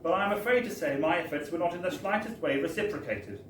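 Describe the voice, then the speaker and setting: A man's voice: high voice, lively intonation, fast, a bit bright. Echoey British man reading a comic story live. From Britain. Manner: chatty, wry, theatrical storytelling with tag-on phrases. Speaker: reader at a book launch. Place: bar, live event. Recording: echoey live bar, distant mic, faint noise.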